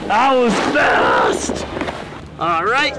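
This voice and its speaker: A man's voice: high-pitched, wildly swooping pitch, very slow, over a noisy crowd, heavy background noise. A man in his thirties filming a casual vlog outdoors on a ski slope, encouraging and cheering on his young daughter as he coaches her. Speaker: vlogger dad teaching his daughter. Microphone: handheld or action camera.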